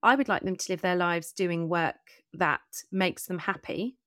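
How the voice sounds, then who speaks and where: A woman's voice: on the low side, unhurried, a bit bright, dry recording, clean studio sound. A middle-aged woman hosting a conversational podcast interview, speaking earnestly and articulately in long, considered sentences.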